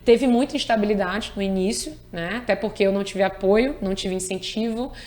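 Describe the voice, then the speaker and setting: A woman's voice: mid-range voice, fairly quick, darkish tone. A woman, a tax lawyer talking earnestly in an interview and repeating key words for emphasis. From Piauí, Brazil. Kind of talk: conversational interview.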